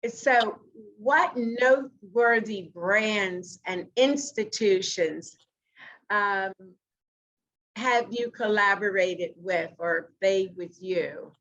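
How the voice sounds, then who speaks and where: A woman hosting an interview podcast over a video call from her living room, introducing her guest and asking questions slowly and deliberately. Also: mid-range voice, a bit bright, low-quality recording. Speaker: podcast host. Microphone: video call webcam.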